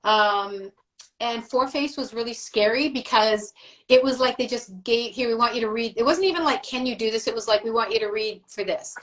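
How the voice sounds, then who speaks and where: A woman's voice: on the high side, normal speed, a bit bright. A middle-aged woman, a voice actress, chatting as a guest on a live video call, rambling through memories with fillers and laughs. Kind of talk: casual livestream chat.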